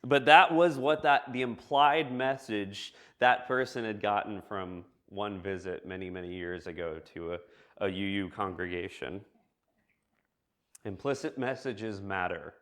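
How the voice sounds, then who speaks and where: Low-pitched man giving a reflective sermon. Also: measured pace, a bit bright. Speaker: preacher giving a church message. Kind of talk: reflective sermon addressed to a congregation.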